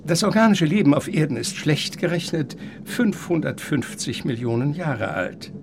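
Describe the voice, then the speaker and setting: A man's voice: a little high, animated, gravelly, noisy background. An actor playing an eccentric, courteous older professor in a German radio play, lecturing with rapture and savoring his words. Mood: musing, instructive. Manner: theatrical, savoring words, halting then surging. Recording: music behind the voice.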